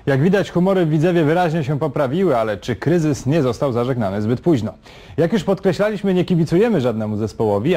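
A man's voice: medium pitch, dark tone. Man presenting sports news in studio.

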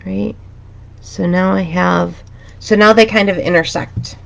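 A woman teaching a drawing pattern step by step in a calm, chatty, reassuring way, her voice a little raspy. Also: medium pitch, a little slow. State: slightly raspy from a dusty room. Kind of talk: relaxed step-by-step drawing tutorial. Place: home art studio, indoors.

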